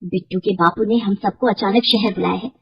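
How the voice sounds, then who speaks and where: A woman voicing a scheming, bullying young village daughter-in-law for a cartoon story, with a sharp manner. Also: mid-range voice, fairly even intonation, brisk, vocal fry, husky, lo-fi sound, pitched below the performer's own voice. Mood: menacing, scornful.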